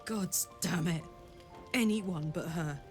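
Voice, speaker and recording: An actress playing a blunt, plain-spoken warrior woman with a soft heart in a video game. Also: fairly low pitch, takes it slowly, soft background music. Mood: defiant.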